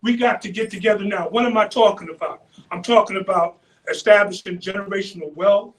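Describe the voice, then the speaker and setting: A man, speaking as a panelist in a loud, preacherly way and repeating phrases for emphasis, picked up from a distance. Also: high voice, a little fast. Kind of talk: impassioned community panel speech.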